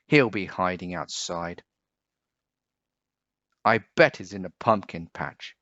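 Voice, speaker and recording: Bossy storybook cat, played by a man, emotional delivery, drawn-out words, slightly dull recording. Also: deep voice, fairly bright tone, dry recording. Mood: scornful. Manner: snappy, emotional. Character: bossy scoffing storybook cat, prone to wailing.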